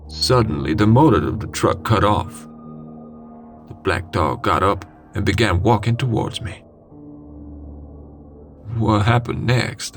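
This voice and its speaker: A man reading a scary first-person story in a dramatic, suspenseful way with deliberate pauses. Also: on the low side. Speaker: horror story narrator. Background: music.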